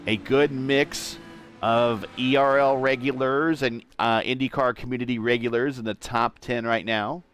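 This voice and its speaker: Male lead commentator, online racing broadcast, mid-pitched steady play-by-play that lifts at green flags. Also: measured pace, faint music behind. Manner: steady play-by-play, rising loud and high at restarts.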